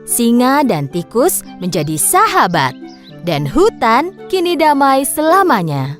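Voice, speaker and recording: High, animated woman storyteller. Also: slow. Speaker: narrator. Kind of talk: scripted children's story narration. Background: music.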